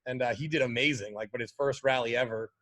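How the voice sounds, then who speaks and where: Easygoing rally driver, mid-pitched. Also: male voice, fast, a bit bright. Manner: easygoing, lots of fillers like "like" and "you know". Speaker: guest, pro rally driver. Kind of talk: casual interview chat.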